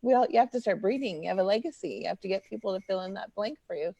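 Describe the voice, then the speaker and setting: A woman's voice: medium pitch, expressive, fast, vocal fry, dark tone, highs cut off. A young woman chatting casually on a group video call, giggly and full of fillers.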